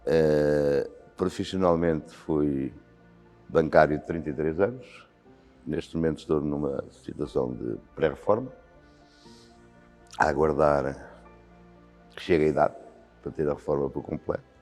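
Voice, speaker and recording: A man's voice: rumbling low, measured pace, long pauses, a little mellow. A man in his sixties with a European Portuguese accent, a volunteer fire commander answering interview questions thoughtfully, with frequent hesitations. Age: 60s. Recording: long hall reverb with faint music.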